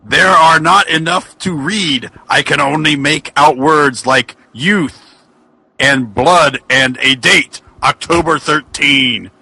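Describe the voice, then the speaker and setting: Man doing a slow, grandiose evil genie. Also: a little high, sharp tone, husky, no room sound, exaggerated voice, rough recording. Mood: menacing. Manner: grandiose, halting. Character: great menacing scheming genie, ancient and evil. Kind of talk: comic dramatic reading of fan fiction.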